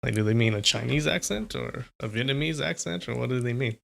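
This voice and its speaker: Young man, California-raised, casual storyteller, gravelly mid-range voice, chatty. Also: mid-range voice, brisk, a bit bright, slight background noise. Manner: chatty, answers chat between passages. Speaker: host reading a novel aloud. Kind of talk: casual livestream reading and chat.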